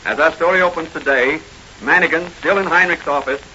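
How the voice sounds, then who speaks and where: Male serial announcer, dramatic delivery, bright cutting tone, muffled old-time radio recording with some noise. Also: a little high, brisk. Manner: dramatic, declamatory. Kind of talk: 1940s radio scripted narration.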